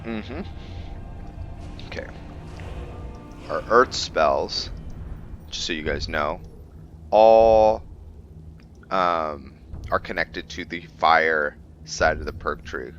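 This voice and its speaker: A man giving casual commentary on a roleplay video game, thinking aloud with halting pauses. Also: extremely low pitch, a bit bright, dull audio, faint music behind. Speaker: gaming video host.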